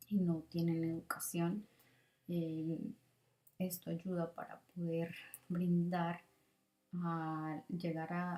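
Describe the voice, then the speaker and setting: A middle-aged woman gives a student presentation in a hesitant, halting way with pauses. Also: fairly low pitch, warm tone, rough recording. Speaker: student teacher giving a presentation. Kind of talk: prepared spoken presentation, partly improvised.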